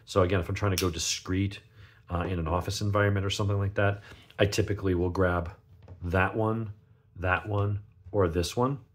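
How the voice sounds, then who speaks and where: A man with a gravelly, sniffly voice from a cold, giving a casual unscripted product review in a rambling, conversational way. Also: low-pitched, fairly even intonation, fairly quick. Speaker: knife reviewer, channel host.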